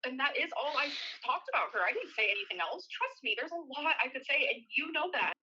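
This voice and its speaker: Woman, very bright forceful voice, quick and blunt, casual argumentative phone call, lo-fi sound. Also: high-pitched, animated. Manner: blunt, swearing. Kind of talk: confrontational casual phone conversation. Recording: dull lo-fi phone call recording.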